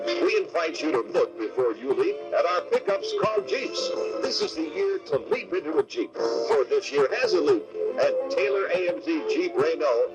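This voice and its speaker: Lively male radio announcer over music. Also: a little high, normal speed, rough recording. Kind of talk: radio commercial and announcer read.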